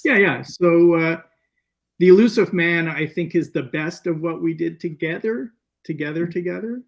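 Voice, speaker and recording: A man speaking as a filmmaker guest, telling stories casually in a meandering, halting way with repeated words. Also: a little high, gravelly. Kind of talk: casual interview storytelling.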